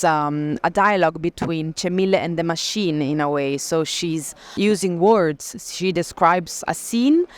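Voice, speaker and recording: A woman presenting artworks in an explanatory way at an art fair, often halting to search for the right word. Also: on the low side, smooth. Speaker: gallerist presenting an artist.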